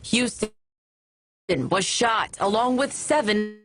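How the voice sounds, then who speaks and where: An American woman reports for television news from a script. Her voice is mid-pitched, and she speaks at a slow, deliberate pace. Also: animated, a bit bright.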